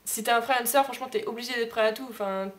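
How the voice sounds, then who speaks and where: A woman's voice: a little high, racing. A woman in her early twenties chatting casually to camera in a rambling, giggly way, recorded at home on an amateur camera. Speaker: vlogger, elder sister. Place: room at home. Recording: noisy amateur digital camera sound.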